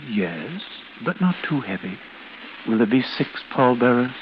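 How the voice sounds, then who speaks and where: A man's voice: medium pitch, sing-song, unhurried. Oily polite undertaker guy, old radio mystery, salesman patter, muffled w some hiss. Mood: unctuous, persuasive. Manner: smooth salesman patter, solemnly courteous. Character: unctuous, solicitous mortician selling caskets. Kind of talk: 1940s radio drama acting.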